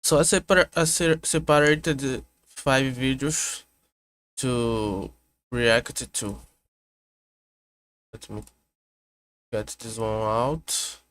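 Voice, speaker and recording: A man hosts a casual vtuber stream at home. He speaks slowly at a middle pitch, often stopping to restart or correct his words as he thinks aloud. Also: fairly even intonation, fairly bright tone. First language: Portuguese. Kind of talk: casual reaction stream chat. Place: home room with air conditioner hum.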